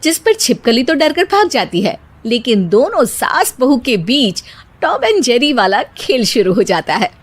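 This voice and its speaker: Lively female cartoon story narrator. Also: on the high side, unhurried, husky.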